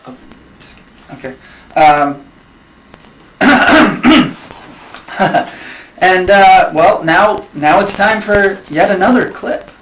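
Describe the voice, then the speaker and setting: A man hosting a comedy special, presenting mock fun facts with an awkward, halting manner. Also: sits high, animated, a bit bright. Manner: awkward, halting between segments. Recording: echoey room with faint noise.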